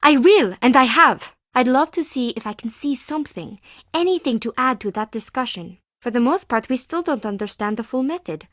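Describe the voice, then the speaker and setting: A woman's voice: high voice, animated, moderate pace, fairly bright tone, no echo, clean studio sound. An actress playing a timid, defensive woman artist and forger in a video game, with intense, theatrical delivery in clipped phrases. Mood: guarded. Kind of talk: scripted video game character dialogue.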